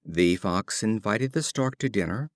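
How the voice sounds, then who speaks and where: A man narrating short fables as an audiobook reader, with an expressive, deliberate storytelling delivery. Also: on the low side, no echo, clean studio sound.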